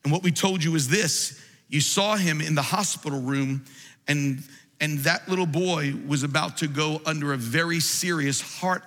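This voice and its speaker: A man preaching a sermon in a large church, impassioned and building to shouts. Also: fairly high pitch. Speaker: pastor. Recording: long reverb of a large hall.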